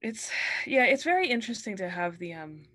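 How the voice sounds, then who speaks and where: A woman in her thirties with an average-pitched voice talks as an author guest. She is hesitant and thoughtful, feeling her way through a casual chat. She speaks over a video call from home on an earbud mic. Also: big pitch swings, a little fast, fairly bright tone. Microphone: wired earbuds headset.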